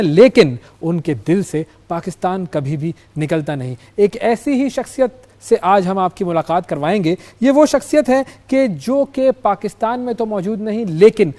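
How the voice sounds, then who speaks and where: Pakistani male news host, higher-pitched voice. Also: a little mellow. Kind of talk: formal current affairs presenting and interviewing.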